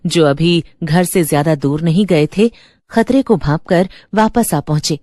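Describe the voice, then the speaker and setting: A woman's voice: sits low, unhurried, mellow, no echo, studio quality. A woman narrator reading a scripted horror story in a dramatic storytelling style.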